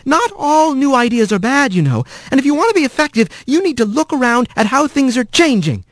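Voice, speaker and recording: A man's voice: high voice, big pitch swings, moderate pace, a little mellow, no echo, clean studio sound, pitched above the performer's own voice. A man voicing a kind young female mouse who serves on the town council, polite and earnest. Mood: firm.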